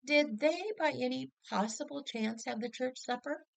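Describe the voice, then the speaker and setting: A woman's voice: high-pitched, moderate pace, vocal fry, pitched above the performer's own voice. A woman voicing a cheerful, wry middle-aged mother in a storybook reading. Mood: wry amusement.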